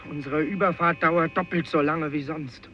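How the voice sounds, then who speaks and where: A man's voice: on the high side, fairly even intonation, normal speed, soft background music, natural voice. A man playing an exhausted, stranded fisherman in a radio play, speaking in grave, lyrical declamation. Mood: weary lament. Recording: dull, slightly noisy vintage radio recording.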